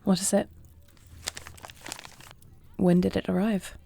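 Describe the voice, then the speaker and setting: A woman's voice: medium pitch, level tone, slow, vocal fry, mellow, a little hiss or hum. A woman playing a proud, dutiful young-married warrior princess who can shift into a dragon, speaking formally in a scripted audio roleplay. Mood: warm, attentive. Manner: formal, regal phrasing. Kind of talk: scripted audio roleplay acting, one side of a dialogue.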